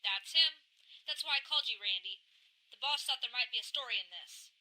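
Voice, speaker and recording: An actress playing an eager, persistent newspaper office assistant with a brisk, insistent manner in an old-time radio drama. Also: female voice, a little high, animated, crowd noise, lightly acted, low-quality recording. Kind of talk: 1950s radio drama dialogue.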